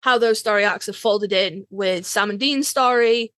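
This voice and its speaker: A woman's voice: medium pitch, moderate pace, fairly bright tone, no room sound, studio quality. A woman co-hosting a podcast in casual fan chat, informal and emphatic, repeating phrases to drive a point home. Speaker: podcast co-host.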